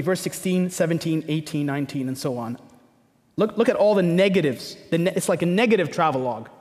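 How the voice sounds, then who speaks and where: Guy from egypt preaching, pretty high voice, chatty but hammers points by repeating them, big echoey hall. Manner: conversational, emphatic repetition and rhetorical questions. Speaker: pastor preaching. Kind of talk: sermon. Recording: long hall reverberation.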